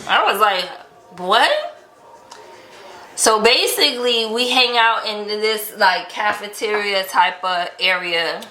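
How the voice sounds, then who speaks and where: Slow-paced, bright-voiced New woman. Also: mid-range voice, expressive. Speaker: vlog host telling relationship stories. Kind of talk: casual conversational storytelling. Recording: echoey untreated room.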